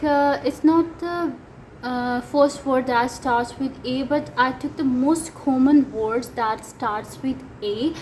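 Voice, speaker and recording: Pakistani woman with a high voice, Urdu native, teaching casually and pausing on fillers, with faint noise behind. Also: darkish tone, rough recording. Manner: hesitant, many fillers. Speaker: language teacher. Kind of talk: casual language lesson.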